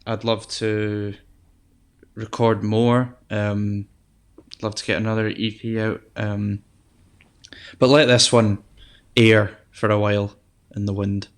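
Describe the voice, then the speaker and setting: Soft-voiced young man, flat and low. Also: takes it slowly. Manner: relaxed, hesitant, many ums and restarts. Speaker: guest musician. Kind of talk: casual interview chat.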